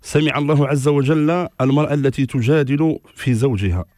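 A man's voice: medium pitch, darkish tone, dry recording, clean studio sound. Authoritative male scholar giving rulings. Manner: measured, authoritative, cites scripture and scholars. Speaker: religious scholar answering questions. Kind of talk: formal religious ruling on radio.

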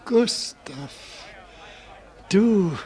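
Ein Schauspieler spielt in einem deutschen Hörspiel einen betrunkenen, rührseligen alten Weber mit schlesischem Akzent, lallend und überschwänglich. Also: male voice, sits high, expressive, drawn-out words, a little mellow, a faint crowd, exaggerated voice. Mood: tipsy, boastful. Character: drunken, boisterous old poor weaver, boastful and sentimental. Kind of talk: German radio play acting.

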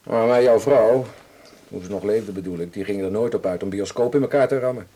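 An actor in a radio drama playing a grumpy, opinionated, old-fashioned police officer, blunt and coarse in manner. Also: male voice, on the low side, brisk, warm tone, lightly acted. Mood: opinionated, irritated.

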